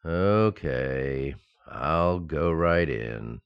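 Young male office worker, radio comedy, played by an actor: very low voice, lively intonation, nervous and earnest. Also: extremely slow, smooth, no room sound, lo-fi sound, full character voice. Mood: worried. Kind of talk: radio sitcom acting.

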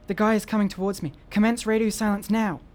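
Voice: medium pitch, fairly even intonation, fast, a little mellow, slight background noise, lightly acted. An actor playing an adventurous, determined boy hero, bossy and earnest, in a radio drama. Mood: bossy, impatient.

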